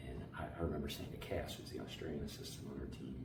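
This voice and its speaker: A very deep-voiced man, a basketball coach, talks in a loose, energetic, slangy way, repeating words and filling pauses. He sounds set back from the mic in an echoey space, with steady background noise. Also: distant mic. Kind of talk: casual interview answers.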